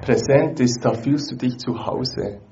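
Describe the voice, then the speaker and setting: A man's voice: medium pitch, unhurried, vocal fry, warm tone, dull audio. A man interpreting a sermon live, following closely behind the preacher's phrases.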